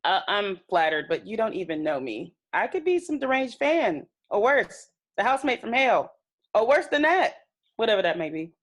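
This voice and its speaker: Actress as lively, candid young woman fan. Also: mid-range voice, normal speed. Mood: wary, flattered. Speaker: actor in a scripted table read. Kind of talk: comedy script read aloud. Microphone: video call.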